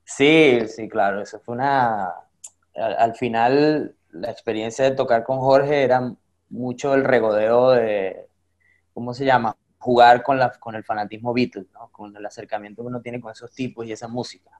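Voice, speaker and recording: Relaxed chatty man. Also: mid-range voice, normal speed. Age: adult with a child. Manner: relaxed, chatty, many fillers like "este". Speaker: guest. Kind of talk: casual conversation.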